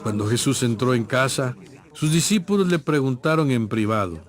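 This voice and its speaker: A man narrating a scripted scripture story in a measured storytelling manner. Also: medium pitch, animated.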